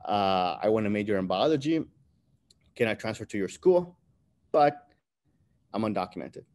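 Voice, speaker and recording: A man in his thirties, born in Peru and raised in New Jersey, telling his life story as an interview guest on a video call, relaxed and filler-heavy. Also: on the low side, moderate pace. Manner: relaxed, many fillers, laughs between phrases. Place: room with bright window behind. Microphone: webcam on video call.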